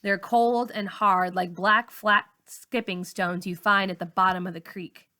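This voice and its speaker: Bright-voiced woman narrating a story. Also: medium pitch, fairly even intonation, measured pace. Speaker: teacher reading a novel aloud. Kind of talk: expressive first-person story narration.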